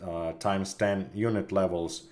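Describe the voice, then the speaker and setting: A man with a slightly hoarse voice from a cold, chatting casually with viewers on a livestream, full of fillers and restarts and clearing his throat. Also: low voice, steady tone, a little slow, darkish tone. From Russia. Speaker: community manager of a game studio.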